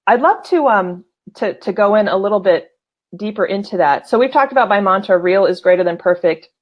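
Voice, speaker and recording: A woman's voice: medium pitch, lively intonation, brisk, muffled recording. A woman in her forties, a show host, interviewing a guest in an enthusiastic, effusive way, often restarting her sentences. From California. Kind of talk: casual interview conversation.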